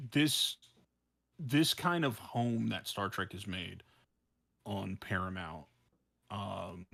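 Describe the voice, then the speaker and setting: Bright-voiced man chatting on a podcast. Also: mid-range voice, vocal fry. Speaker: podcast co-host. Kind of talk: casual podcast discussion.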